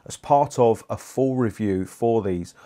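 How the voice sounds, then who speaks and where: A man's voice: on the low side, unhurried, warm tone. A man in his forties presenting a review video as host, speaking into a lapel mic in a small treated studio. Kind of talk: scripted-sounding video presenting to camera. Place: small indoor studio room with acoustic panels.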